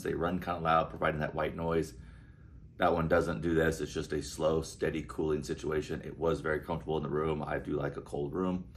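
Chatty deep-voiced man touring a hotel room. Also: slight background noise. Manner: chatty, upbeat walk-through with frequent asides. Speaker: casino vlogger. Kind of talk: casual hotel room review. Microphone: handheld phone camera.

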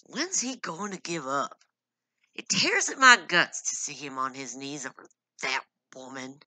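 Storybook: woman narrator doing a brooding, harsh husband, bright and close, nothing roomy. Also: on the high side, very animated, measured pace, heavily acted. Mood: harsh, angry. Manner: harsh, clipped, through gritted teeth. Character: bitter hot-tempered husband, harsh and brooding.

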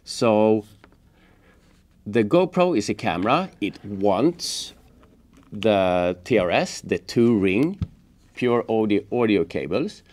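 A middle-aged man giving a casual hands-on tutorial, explaining step by step in a halting way. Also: fairly low pitch, sing-song, a little mellow. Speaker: host of a how-to motorcycle gear channel. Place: indoors, office workshop room at a table.

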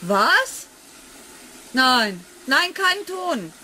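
An affectionate partner talks in a lively, fairly high voice, coaxing and playful. It sounds like an eighties radio play, with faint background noise. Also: female voice, drawn-out words, full character voice. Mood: surprised. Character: affectionate, teasing, soothing woman, scientist's partner. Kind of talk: 1980s German science fiction radio play.

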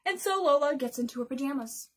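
High-pitched young woman reading a picture book. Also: fast talker. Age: young adult. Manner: expressive, addresses young listeners with questions. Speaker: library storytime host. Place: small bedroom indoors.

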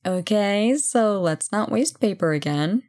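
A woman playing a brisk, playful company boss in an audio roleplay job interview, chatty and full of wry asides. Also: mid-range voice, expressive, a little mellow, close to an everyday voice. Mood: brisk, teasing. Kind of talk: ASMR roleplay, one-sided conversation.

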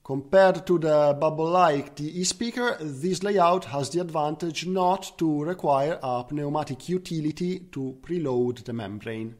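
A man's voice: on the high side, a little slow, hardly any pauses. A man presenting scientific research as a conference speaker, in the style of a technical slide talk. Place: recorded online slide talk.

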